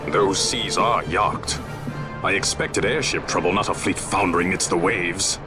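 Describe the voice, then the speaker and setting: Deep, gruff commanding sky pirate. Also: male voice. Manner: brusque, authoritative, theatrical old-fashioned phrasing. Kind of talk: dramatic video game cutscene acting. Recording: loud game score behind dialogue.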